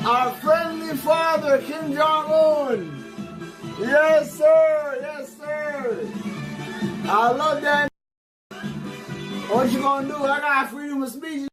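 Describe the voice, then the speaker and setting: A man yells and sings the same few phrases again and again, his voice pushed very high. He drags the words out slowly. Loud music plays behind him in this livestream clip. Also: low-quality recording. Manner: chanting, repetitive shouting and singing. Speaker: streamer in a played clip. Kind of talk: shouted, sung livestream antics.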